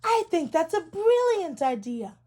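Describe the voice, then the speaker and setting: A woman's voice: extremely high pitch, very animated, a little slow, a little mellow, voice pushed up, full character voice. A woman voicing a loving, approving mother duck in a children's storybook, affectionate and encouraging.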